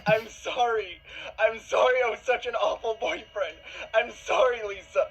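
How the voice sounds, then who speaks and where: Male narrator as frantic, anguished boyfriend in a horror story, voice driven way up high, pleading and tearful, some noise. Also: normal speed, a bit bright, low-quality recording. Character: desperate young boyfriend, pleading and screaming in anguish.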